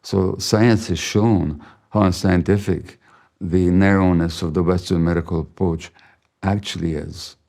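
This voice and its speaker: Older hungarian-native guy lecturing slow and thoughtful, deep mellow voice. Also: fairly even intonation, vocal fry. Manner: slow, measured, reflective delivery. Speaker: physician teaching a masterclass. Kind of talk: spoken masterclass lecture.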